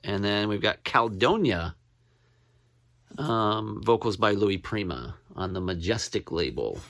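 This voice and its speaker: A man gives a relaxed, informal show-and-tell of items in his collection. He talks slowly, in halting, rambling stretches, and often says a title twice as he reads it out. The sound is dry, with little room reflection. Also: on the low side, dry recording. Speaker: record collector showing his finds. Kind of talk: casual show-and-tell to camera.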